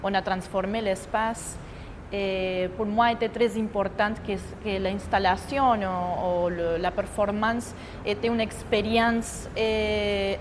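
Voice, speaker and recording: A woman's voice: mid-range voice, a little hiss or hum. Hesitant Argentine woman in a reverberant space. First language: Spanish. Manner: halting, searching for words with many fillers. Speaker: choreographer. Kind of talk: interview testimony.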